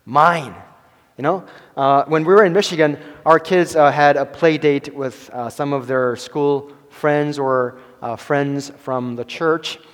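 A man in his forties preaching a sermon to his church, halting with many fillers and restarts and chuckling between phrases. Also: a little high, fairly even intonation. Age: 40s. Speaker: pastor. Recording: long hall reverb.

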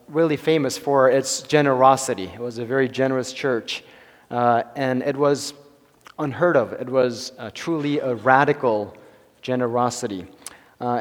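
A man in his forties preaching a sermon to his church, halting with many fillers and restarts and chuckling between phrases. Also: mid-range voice. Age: 40s. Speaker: pastor. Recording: long hall reverb.

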